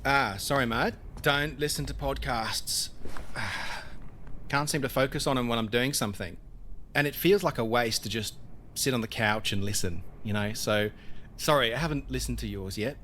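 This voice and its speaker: Bright-voiced, aloof laconic man played by an actor. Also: mid-range voice, moderate pace, slight background noise, close to an everyday voice. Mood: dismissive. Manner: laconic, blunt, says "mate". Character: aloof, private male production designer and carpenter, needling. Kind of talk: audio drama dialogue.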